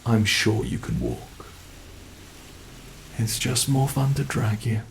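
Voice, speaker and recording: A man playing a sadistic, gloating monster from under the bed in an ASMR horror roleplay, taunting and savoring every word with a gravelly voice. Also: mid-range voice, steady tone, slow, a little hiss or hum.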